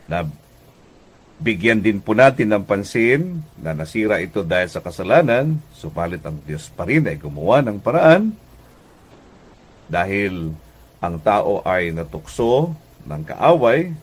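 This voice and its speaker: Filipino guy doing a radio devotional, really deep voice, takes his time, pauses mid sentence. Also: very animated, breathy edge. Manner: deliberate, preacherly, with frequent pauses mid-phrase. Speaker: pastor and radio program host. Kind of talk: devotional radio sermon with greetings.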